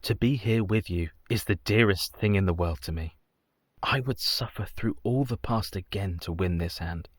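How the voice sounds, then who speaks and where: An actor playing a haunted, devoted young Victorian husband in a radio play, solemn and formal. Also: male voice, on the low side, moderate pace, no room sound, clean studio sound, close to an everyday voice. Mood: tender.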